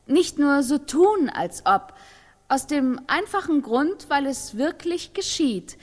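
A woman giving a careful, measured testimony in a radio play. Her voice is high and unhurried, ringing with long reverb. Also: sing-song, exaggerated voice. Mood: measured, assured.

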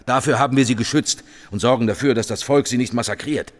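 An actor playing a wary, irritated head of government in a radio drama, insistent and sardonic. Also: male voice, medium pitch, quick pace, natural voice. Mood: wary, resolute. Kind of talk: German radio drama acting. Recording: long reverb, music underneath.

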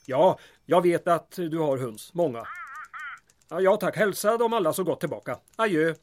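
Guy acting as a small town mayor, pretty high voice, polite but dry and sarcastic, radio play style. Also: expressive, lightly acted. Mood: polite, puzzled. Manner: dry, deferential wording laced with sarcasm. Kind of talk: audio drama dialogue.

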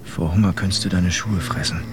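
A man's voice: fairly even intonation, a little fast, noisy background, natural voice. A man playing a worn-down, hungry sailor near despair in a radio drama. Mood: weary, desperate. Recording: clear rumbling ambience behind voice.

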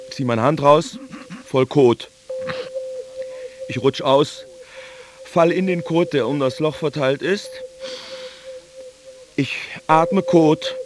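A weary, disgusted man, played by an actor, delivers a literary radio monologue. His voice sits at a medium pitch, with clear hiss and noise around it. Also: lightly acted. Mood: disgusted, miserable.

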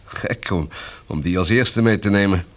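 An actor playing a brisk, bureaucratic colonel in a radio drama, with a clipped, orderly way of questioning. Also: male voice, low voice, lightly acted. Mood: businesslike, practical. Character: brisk, bureaucratic male colonel running a survivors' camp. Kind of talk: Dutch radio drama acting.

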